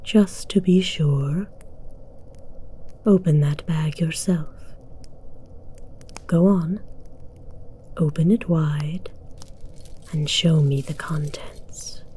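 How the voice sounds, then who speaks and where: A woman playing a haughty, menacing dragon queen in an ASMR roleplay, whispering in an imperious, formal, breathy way. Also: low-pitched, warm tone, slight background noise. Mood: threatening. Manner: imperious, slow, deliberate, formal.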